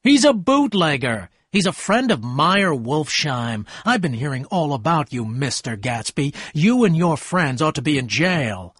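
A jealous, domineering husband from a storybook, acted out by a male narrator. He is loud and accusing, with a husky edge and big, forceful swings in pitch. The recording is close and dry. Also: big pitch swings, a little slow, no room sound, heavily acted. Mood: scornful, forceful. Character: bad-tempered, domineering jealous husband, adult man.

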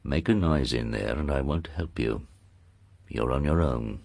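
A deep, imperious lord. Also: male voice, very animated, normal speed, slight background noise. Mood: low, threatening. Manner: curt, imperious, clipped commands. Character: commanding aristocratic uncle, curt and coolly domineering.

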